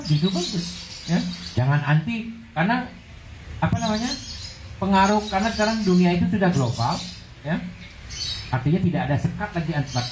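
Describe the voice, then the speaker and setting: A man's voice: a little high, a little hiss or hum. A man from Bali with a Balinese accent, giving an informal, encouraging talk to young people as a guest speaker. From Bali, Indonesia. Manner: encouraging, lively. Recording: echoey room.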